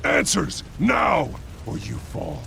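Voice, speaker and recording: An actor playing a grim, menacing masked vigilante in a video game, gravelly, terse and commanding. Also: male voice, fairly high pitch, huge pitch swings, slow, noisy background, full character voice. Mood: menacing, demanding.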